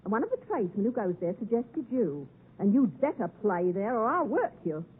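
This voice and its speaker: An actress playing a bossy, sarcastic blacksmith's wife who scolds and bullies, in 1950s radio drama style. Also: female voice, mid-range voice, very animated, brisk, husky. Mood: scolding, bossy. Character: sharp-tongued, bullying blacksmith's wife, scolding elder sister. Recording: tinny, narrow 1950s radio broadcast.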